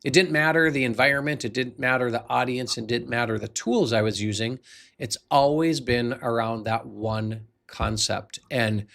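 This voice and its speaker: An American man in his forties with a noticeably creaky, gravelly voice at a medium pitch. He speaks as a coach sharing a personal story, earnest and conversational, leaning on key words for emphasis. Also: fairly bright tone. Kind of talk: motivational personal storytelling to camera.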